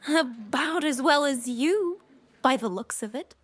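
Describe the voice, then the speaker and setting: A woman acts out a troubled young noblewoman from a fantasy audio drama. Her voice sits high, and she speaks slowly and carefully, with weariness in her delivery. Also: lively intonation. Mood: weary.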